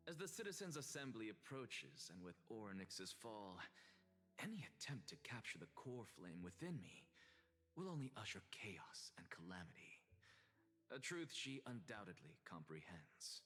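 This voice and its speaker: An aloof, coolly defiant scholar from a video game. His formal speech is haughty and deliberate, with lively intonation. It rings with reverb over background music. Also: mid-range voice. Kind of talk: theatrical video game dialogue.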